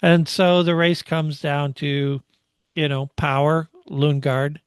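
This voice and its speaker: Higher-voiced man in casual sports chat. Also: level tone, slow pace, clear, resonant tone, no echo, clean studio sound. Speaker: motorsport podcast co-host. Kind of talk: casual race recap discussion.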